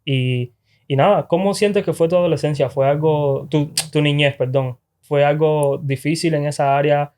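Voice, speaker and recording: Guy hosting a podcast, kinda higher voice, encouraging, asks questions to guide. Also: fairly even intonation, moderate pace, darkish tone. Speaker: podcast host. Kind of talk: casual interview.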